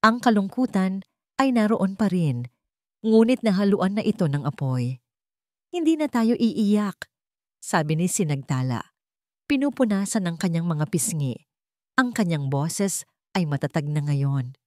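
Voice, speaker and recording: A woman's voice: lowish voice, expressive, a little slow, darkish tone, dry recording, clean studio sound. A woman with a Filipino accent narrating a scripted dramatic story.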